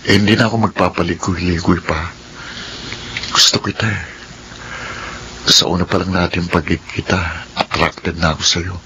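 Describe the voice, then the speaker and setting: A low-voiced earnest admirer. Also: male voice, fairly even intonation, normal speed, pauses often, pushed and bright, a little hiss or hum. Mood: earnest, tender. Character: helpful, earnest man shyly confessing his love. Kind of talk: radio drama dialogue.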